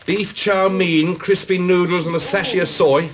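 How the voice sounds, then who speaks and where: A man's voice: high-pitched, fairly even intonation, normal speed, a crowd in the background, natural voice. A man acting in a radio drama as a wry, easygoing schoolteacher with a dry, bantering manner. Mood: busy, joking.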